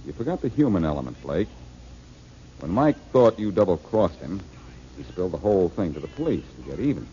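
A cool, self-assured detective speaks low and dark with lively swings in his voice. His smooth, confident delivery sits in a dull, lo-fi old radio recording. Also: a little fast, slight background noise. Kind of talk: 1940s radio acting.

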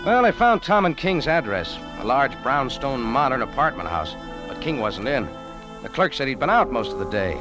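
Male, American, tough-guy radio sleuth played by an actor. Wry, slangy, animated mid-range voice; vintage drama with music bed. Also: mid-range voice, moderate pace, natural voice, low-quality recording. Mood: wry narration. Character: wry hardboiled American cafe owner turned amateur sleuth, male. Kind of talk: 1940s radio detective drama acting and first-person narration.

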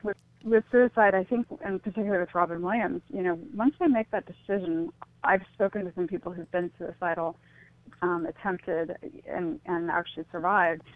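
A woman's voice: fairly low pitch, steady tone, moderate pace, dry recording. A woman, speaking reflectively and tentatively as an interview guest over a phone-like line. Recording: thin, phone-like call-in line.

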